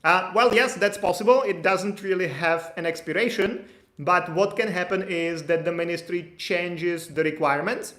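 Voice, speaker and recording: A man's voice: high voice, normal speed, fairly bright tone. A man in his thirties hosting a live stream, reading out viewer questions and answering them in a loose, chatty way, with lots of fillers and restarts. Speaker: immigration consultant answering live chat questions. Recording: echoey room.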